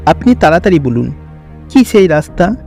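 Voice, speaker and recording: A man's voice: fairly high pitch, very animated, normal speed, vocal fry, darkish tone, faint music behind. A man voicing a brave, kind, eager village boy in a fairy-tale cartoon. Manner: earnest, eager.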